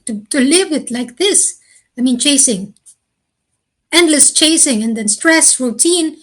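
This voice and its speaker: A woman answering interview questions in a thoughtful, earnest way, with slow, deliberate phrasing. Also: a little high. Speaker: interview guest.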